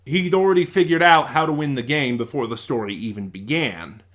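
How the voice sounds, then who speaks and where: A man speaks at a middle pitch as a reviewer, casual and unscripted. His delivery is halting, as he reworks his phrasing and searches for the right wording. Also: fairly bright tone. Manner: halting, rephrases and searches for words. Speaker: YouTube reviewer.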